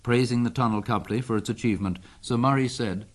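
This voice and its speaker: A man's voice: mid-range voice, fast, faint music behind. A man, a radio presenter, reading a scripted 1970s news review narration.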